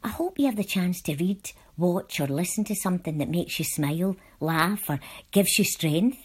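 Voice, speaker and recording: A woman reads a written letter aloud in a soft-edged, dark and mellow tone. Her voice sits a little low and has a husky grain. The delivery is measured and earnest. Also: animated. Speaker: volunteer reader. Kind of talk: reading letters aloud, scripted.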